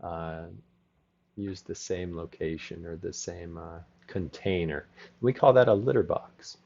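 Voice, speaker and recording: Laughing English teacher on a dull video call. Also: male voice, sits low, vocal fry, a little mellow, a little hiss or hum. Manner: encouraging, laughs often, explains words slowly. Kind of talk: casual conversational lesson. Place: home. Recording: slightly dull lo-fi call audio.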